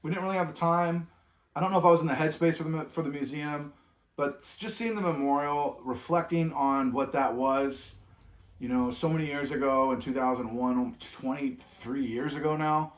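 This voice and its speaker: A man's voice: fairly high pitch, fairly even intonation, moderate pace. Middle-aged guy co-hosting a travel vlog, loose rambly talk, lots of restarts, echoey room. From California. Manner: rambling, with many restarts and trailing off. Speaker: vlog co-host. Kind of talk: reflective casual chat.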